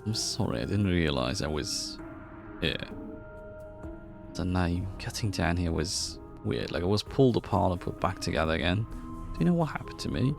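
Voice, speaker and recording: A man streams a visual novel in a very low voice with heavy creak. He comments casually and reads choices aloud over game music. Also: very animated, normal speed. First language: German. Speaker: livestreamer playing a visual novel.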